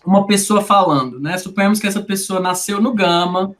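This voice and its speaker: A woman's voice: mid-range voice, brisk. A woman from Brasília with a Brasília accent, a linguistics researcher explaining in a conversational interview, didactic and full of tag questions. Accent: Brasília Portuguese. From Brasília, Brazil.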